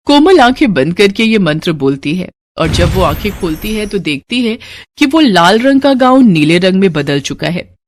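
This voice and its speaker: A woman's voice: medium pitch, sing-song, normal speed, slight background noise. Woman narrating children's moral stories. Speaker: storyteller. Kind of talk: scripted children's story narration.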